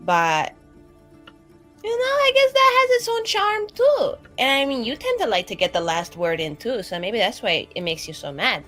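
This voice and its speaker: A woman's voice: a little high, big pitch swings, normal speed, pushed and bright. A woman playing in a tabletop roleplaying game, improvising casual, rambling in-character dialogue. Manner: rambling and conversational, heavy use of like. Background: music.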